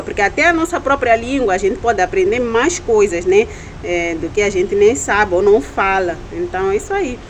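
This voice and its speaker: A woman's voice: medium pitch, a little hiss or hum, poor audio. A young woman with a Mozambican Portuguese accent, chatting casually as a reaction video host, often adding tag questions and correcting herself mid-word.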